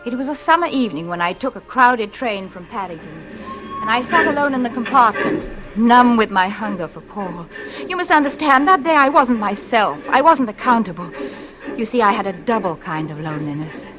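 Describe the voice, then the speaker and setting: A woman's voice: a little high, expressive, normal speed, over music, natural voice, rough recording. An actress playing a lonely young married woman, narrating a confession with intense feeling in 1940s radio style. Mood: haunted, confessional. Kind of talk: 1940s radio acting, dramatic first-person narration.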